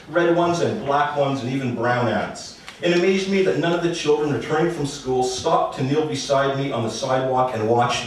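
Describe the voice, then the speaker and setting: A man giving a live author's reading of first-person prose in a measured, dry storytelling manner. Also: medium pitch. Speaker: author reading at a literary event. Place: indoor literary party, before an audience. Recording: echoey room, distant mic, faint noise.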